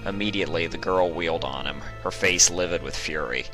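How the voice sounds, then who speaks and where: A man with a very low voice narrates a written story. He reads it as scripted storytelling, with guitar music clearly playing underneath. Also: fairly quick, pushed and bright. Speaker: narrator reading fan fiction. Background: instrumental guitar music.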